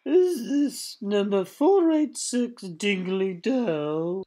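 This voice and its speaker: A woman's voice: medium pitch, animated, very slow, mellow. Woman playing an eager Swedish muscleman secretary. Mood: eager earnest. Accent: put-on Swedish. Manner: earnest, overly helpful, formal phrasing. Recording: music playing behind voice. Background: music.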